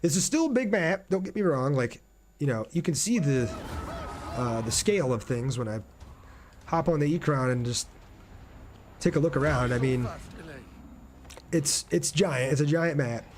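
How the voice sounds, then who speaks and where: A man's voice: on the high side, expressive, moderate pace, long pauses, crowd noise. A man with an American accent talks casually and conversationally to viewers while livestreaming a video game. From Ohio, United States. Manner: conversational, addresses viewers directly. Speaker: live streamer playing a video game. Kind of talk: casual gameplay livestream chat.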